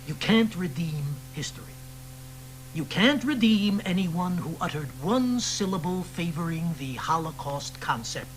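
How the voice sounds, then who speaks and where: An actor playing a provocative, wry poet who needles the people around him. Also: male voice, fairly high pitch, fairly bright tone, a little hiss or hum, poor audio. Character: provocative male poet of the protest era. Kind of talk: radio drama acting.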